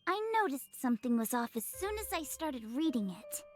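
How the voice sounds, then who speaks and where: A woman's voice: sits high, very animated, moderate pace. A woman voicing a timid, polite teenage girl in a visual novel, hesitant and apologetic in her delivery. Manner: hesitant, meek. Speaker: voice actor. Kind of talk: visual novel character acting.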